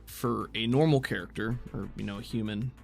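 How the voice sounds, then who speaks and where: Creaky-voiced game master over faint music. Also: mid-range voice, a little fast, a little mellow. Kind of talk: narrating and explaining a tabletop game.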